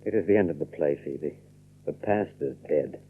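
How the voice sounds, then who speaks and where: An actor playing an earnest, grave young gentleman with a tender side in a dramatic radio play. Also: extremely low pitch, normal speed, slightly rough, exaggerated voice. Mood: tender, solemn. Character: earnest young gentleman revealing a long-hidden family secret, tender. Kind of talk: old radio drama acting. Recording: muffled, narrow old radio recording.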